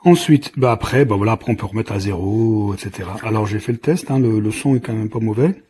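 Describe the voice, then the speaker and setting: A man giving a casual hands-on product demonstration as a reviewer, explaining haltingly and often restarting his sentences. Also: lowish voice, background noise.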